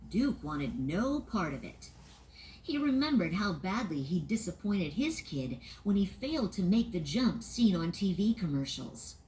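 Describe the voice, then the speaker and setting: A woman narrating a children's read-along storybook in an expressive, sing-song way. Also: lowish voice, measured pace, slight background noise.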